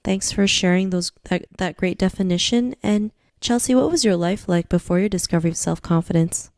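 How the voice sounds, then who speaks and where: A woman's voice: on the low side, brisk, darkish tone, no echo, clean studio sound. A woman hosting a podcast interview, upbeat and encouraging as she welcomes her guest and asks questions. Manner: upbeat, encouraging, scripted intro. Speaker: podcast host.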